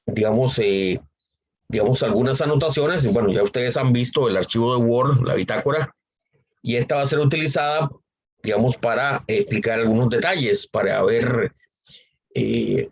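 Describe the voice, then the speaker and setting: A man teaching a university statistics class online, hoarse from a cold, speaking unhurriedly with frequent fillers and repeated phrases. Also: medium pitch, dull audio. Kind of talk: online lecture.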